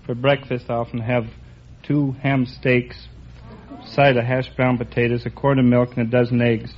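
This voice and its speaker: A man's voice: mid-range voice, flat delivery, normal speed, slight background noise. A man from San Francisco, a bartender on a quiz show, giving plain matter-of-fact answers. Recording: dull old radio recording.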